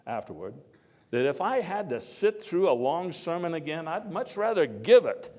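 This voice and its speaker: A man's voice: fairly high pitch, very animated, darkish tone. Man playing a folksy old-time statesman in an echoey hall. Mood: jovial. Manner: folksy, halting, with oratorical emphasis and wry asides. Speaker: historical reenactor. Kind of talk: live first-person historical monologue, speech to an audience. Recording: echoey hall with long reverb.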